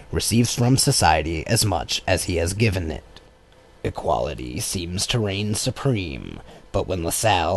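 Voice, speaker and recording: A man reading a political text as an audiobook in a measured, formal way. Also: fairly low pitch. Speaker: audiobook reader. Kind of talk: scripted narration of a political text. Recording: clear background noise.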